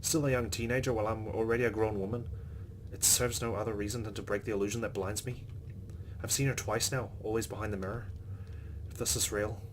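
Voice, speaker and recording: A man's voice: lowish voice, fairly even intonation, fast talker, a little hiss or hum. A man hosting a let's play, reading visual novel narration aloud with his own reactions, over game ambience.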